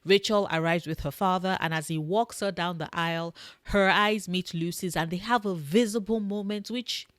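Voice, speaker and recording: A young woman with a fairly low, booming voice recaps a movie for her channel, jumping between brisk narration and playful, sarcastic asides. The sound is close and dry, with no room around it. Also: no room sound. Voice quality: loud booming voice. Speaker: YouTube film reviewer. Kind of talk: scripted film recap.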